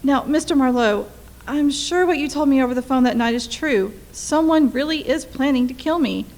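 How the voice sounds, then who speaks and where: A woman's voice: high-pitched, normal speed, darkish tone. A woman playing an anxious, pleading wife in a radio play, urgent and insistent. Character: anxious, pleading young wife fearing murder.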